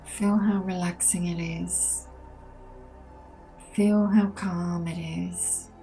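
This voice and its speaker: A woman leading a guided meditation, speaking gently and in a measured way with long pauses between soothing instructions. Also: on the low side, steady tone, gravelly, poor audio. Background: soft ambient meditation music.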